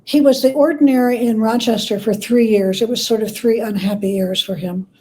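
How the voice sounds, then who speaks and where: A woman with a warm, mellow and slightly high voice speaks as an interview guest. She explains in a conversational, measured way, pausing as she puts her thoughts together. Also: steady tone, slight background noise, rough recording. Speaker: interview guest, author and scholar.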